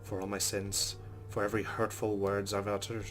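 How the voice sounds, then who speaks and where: A man's voice: lowish voice, completely flat delivery, normal speed, soft background music. A man hosting a let's play, reading visual novel narration aloud and adding casual asides. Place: recorded voice-over with game music behind.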